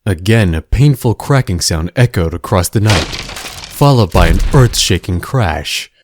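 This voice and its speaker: A man narrating a scripted adventure story in a measured, dramatic storybook manner. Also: low-pitched, animated, no echo.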